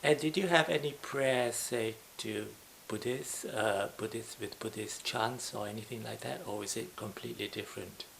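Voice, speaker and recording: A man's voice: on the low side, normal speed, creaky, fairly bright tone, rough recording. A man interviewing, asking short leading questions. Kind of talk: oral history interview. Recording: faint hiss.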